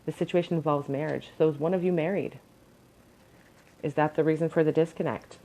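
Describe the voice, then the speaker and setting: A woman's voice: sits low, steady tone, brisk, warm tone. A woman with a crackly voice giving an earnest pick-a-card tarot reading at home, advising with frequent ums and restarts. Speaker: tarot reader.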